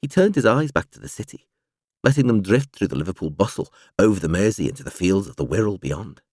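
A male narrator with a fairly low voice reads a novel aloud. His intonation is lively and moves widely as he shapes each phrase in a measured storytelling manner. The sound is dry and close, like a studio audiobook. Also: dry recording. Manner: expressive, measured storytelling delivery. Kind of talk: scripted literary narration.

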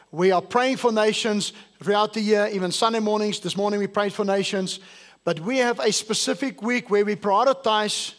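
A male pastor, whose first language is not English, preaching a sermon to his congregation and asking them questions. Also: sits high. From South Africa. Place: church service.

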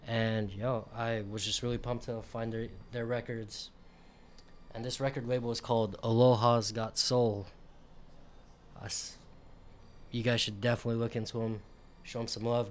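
A man hosting a radio show, chatting casually in a laid-back, slangy way with hesitant pauses. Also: lowish voice, slight background noise. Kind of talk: casual radio sign-off chat.

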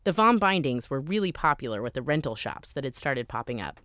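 A woman's voice: rumbling low, very animated, a little fast, a bit bright, faint music behind. A woman host giving scripted documentary narration in a conversational, lightly wry way.

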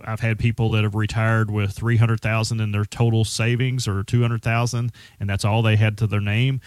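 A man's voice: fairly low pitch, little pitch movement, normal speed, darkish tone, no echo. A man speaking as a financial advisor on a radio show, conversational with frequent restarts. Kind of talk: casual radio talk.

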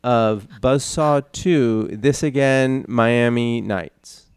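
A man's voice: mid-range voice, drawn-out words, smooth, dry recording, clean studio sound. A man hosting a comedy podcast, steering the talk with lots of hesitant fillers. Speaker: podcast host. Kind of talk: casual comedy podcast chat.

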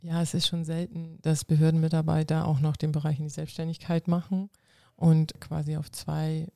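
Gravelly woman, earnest. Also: low voice, level tone, normal speed, mellow, no echo, studio quality. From North Frisia, northern Germany. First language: Low German. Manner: earnest, explains at length with restarts. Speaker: guest, coach and civil servant. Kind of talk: casual interview answers.

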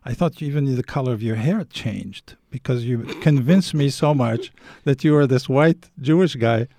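A man's voice: medium pitch, normal speed, mellow. A man hosting an interview who praises his guests' work before asking his questions. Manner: appreciative, leads with praise. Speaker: interview host.

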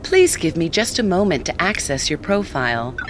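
An actress playing a polite, eager assistant app in an audio drama, with courteous, scripted customer-service delivery. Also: female voice, fairly low pitch, big pitch swings, normal speed, music in the background. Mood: helpful, upbeat.

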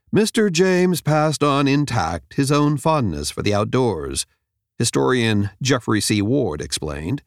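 A man reads a nonfiction audiobook in a measured, clearly enunciated way, close to the microphone. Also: mid-range voice, dry recording. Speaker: audiobook narrator. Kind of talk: scripted nonfiction audiobook narration. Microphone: close studio microphone.

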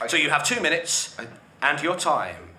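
High-voiced bloke hosting a comedy quiz, teasing and quick, roomy room, loud noise behind. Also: male voice, sing-song. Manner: brisk, teasing, often breaks into laughter. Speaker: quiz host. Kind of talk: hosting a comedy game show.

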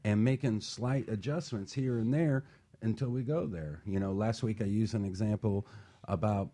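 Low, mellow-voiced male life coach. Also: moderate pace. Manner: earnest, encouraging, uses examples. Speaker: life coach and show host. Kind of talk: motivational talk-show chat.

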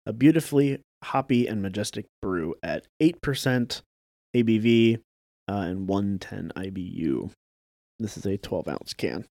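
A man's voice: on the low side, lively intonation, unhurried, darkish tone, no room sound, clean studio sound. A man co-hosting a casual chat, explaining things with hedges and filler words. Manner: hedging, explains with lots of "like". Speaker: podcast co-host.

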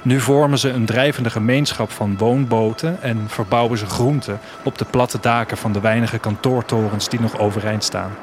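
A man's voice: medium pitch, steady tone, normal speed, few pauses, background noise, close to an everyday voice. A man playing a restless dreamer who longs to travel, speaking in a reflective, intimate audio drama monologue. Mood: longing. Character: restless young dreamer of 2104 longing to travel.

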